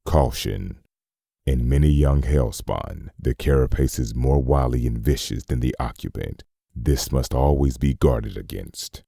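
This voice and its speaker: Extremely deep, gravelly, solemn hunting instructor voiced by a man. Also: a little slow, mellow, dry recording, studio quality, heavily acted. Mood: solemn, ominous. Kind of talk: scripted dramatic narration for a comic dub.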